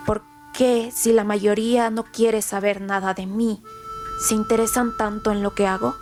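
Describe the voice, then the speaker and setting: A woman's voice: medium pitch, flat delivery, normal speed, music in the background, lightly acted. A woman playing a cold, sadistic, withdrawn young boy, confessing in a detached, matter-of-fact way in a radio play. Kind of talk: dramatic first-person radio play monologue.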